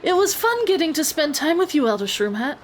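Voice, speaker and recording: A woman voicing a small, plucky young gnome adventurer in a fantasy audio story, curious and expressive. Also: high-pitched, fairly quick, slight background noise. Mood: curious, friendly.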